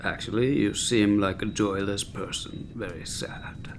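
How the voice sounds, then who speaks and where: A man's voice: on the low side, unhurried, a little hiss or hum. A man playing a cantankerous, hard-drinking chief medical officer in a sci-fi comedy audio drama, sardonic, theatrical and full of contempt. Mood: scornful, dry.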